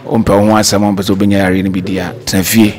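A man's voice: deep voice, flat delivery. A middle-aged man with a Ghanaian accent, a pastor explaining the church's efforts indoors into a handheld microphone. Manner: measured, explanatory, with English terms.

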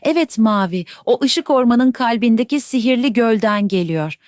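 A woman voicing a wise old owl in a children's storybook, speaking calmly and instructively. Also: a little high, moderate pace, no echo, clean studio sound, lightly acted.

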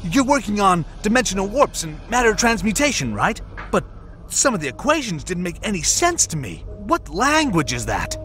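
A man's voice: sits high, sing-song, normal speed, fairly bright tone, faint music behind. A man playing an eager, curious young scientist in a motion comic, boyish, awed and apologetic.

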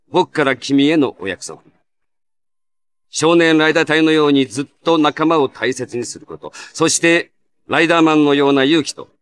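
An actor playing a friendly, earnest masked hero who talks to children like an encouraging big brother in a video game. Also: male voice, on the high side, a bit bright, no room sound, lightly acted. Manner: clear, emphatic, addressing children directly. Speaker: hero narrator of a card quiz mode. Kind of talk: scripted game dialogue addressed to a young player.

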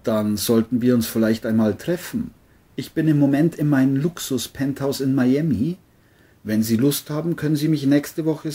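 A man playing a crime novelist in an amateur radio play, speaking in a formal, deliberate manner. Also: mid-range voice, mellow, breathy edge. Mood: casual, obliging. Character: boastful male bestselling crime novelist. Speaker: writer and narrator of his novel.

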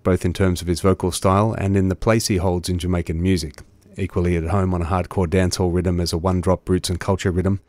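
Fast-talking male podcast host, low voice, close dry mic. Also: no room sound. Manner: clear, even, read-aloud delivery. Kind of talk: scripted introduction.

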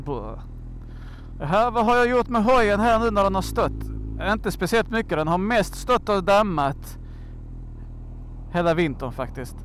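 A man with a slightly high voice talks casually while riding a motorcycle outdoors. He rambles along with jokey, slangy asides. Clear wind and traffic noise runs behind his voice. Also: lively intonation, a bit bright. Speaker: motorcycle vlogger and host.

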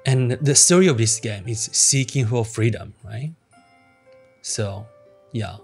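Slow, playful man with a Japanese accent. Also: medium pitch, a little mellow, faint music behind. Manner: playful, laughs often, reacts aloud. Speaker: gaming streamer. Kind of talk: casual gameplay commentary.

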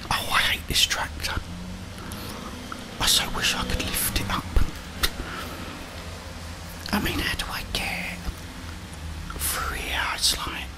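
A middle-aged man whispers ASMR gameplay commentary in a breathy voice, muttering and mildly grumbling to himself. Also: slow pace, pauses often, rough recording. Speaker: gamer, ASMR video host. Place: indoors, small room at home.

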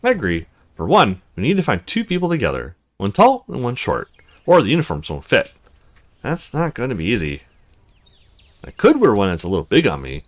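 A man voicing a short, young-looking woman in a visual novel, sardonic and self-conscious, with a casual grumbling manner. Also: fairly low pitch. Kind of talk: visual novel character reading.

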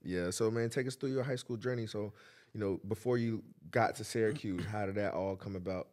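A man hosts a casual podcast interview and asks his questions loosely. His voice is a bit low and his manner easygoing. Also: fairly quick, darkish tone. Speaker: podcast host.

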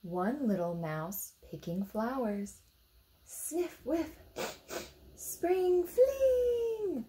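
A woman in her thirties with an American accent, reading a picture book aloud to young children expressively, pausing to ask them simple questions. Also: high voice, animated, warm tone, a little hiss or hum. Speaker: storytime reader for children. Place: indoor room beside a book display.

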